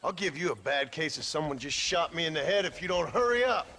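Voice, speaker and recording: A gruff Old West rancher with an American frontier drawl and a slightly raised pitch. He talks bluntly, with dry, folksy sarcasm, like a stern father in a game cutscene. Faint noise sits behind the voice. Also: lively intonation, a little fast, sharp tone. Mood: irritated. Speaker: lead character in a game. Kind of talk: dramatic game cutscene acting.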